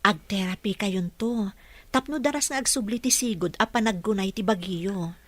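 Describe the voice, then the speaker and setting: A dutiful female caregiver played by a woman, polite and gently instructive, in radio-drama style. Her pitch is medium and the sound is dry. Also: moderate pace, no room sound, natural voice. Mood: encouraging. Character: patient, dutiful young female caregiver coaching a patient. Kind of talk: Ilocano radio drama acting.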